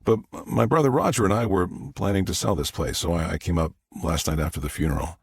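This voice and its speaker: A man's voice: low-pitched, vocal fry, a little hiss or hum. A man playing a rattled, grieving adult son who stammers and halts through his lines in an audiobook. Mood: flustered. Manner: stammering, halting, flustered. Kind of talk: audiobook character dialogue.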